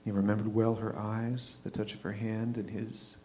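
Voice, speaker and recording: A man's voice: on the low side, flat delivery, normal speed, darkish tone, a little hiss or hum. A man giving a dramatic reading of literary fiction as a theatre reader.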